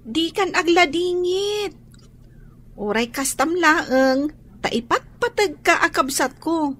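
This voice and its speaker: A woman's voice: very high-pitched, lively intonation, takes it slowly, fairly bright tone, full character voice. A woman playing a caring, playful and protective young child in a Filipino radio drama. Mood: tender, earnest.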